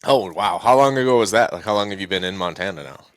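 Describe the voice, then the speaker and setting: A man hosting a podcast interview, asking chatty, relaxed questions in casual slang. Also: low voice, fast talker, no echo, clean studio sound. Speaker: podcast host.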